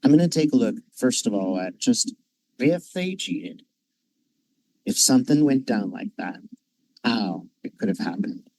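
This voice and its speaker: A man's voice: mid-range voice, animated, a little slow, long pauses, darkish tone, low-quality recording. A man hosting a commentary video, rambling and conspiratorial, with many hesitant fillers. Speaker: channel host. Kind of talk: casual commentary.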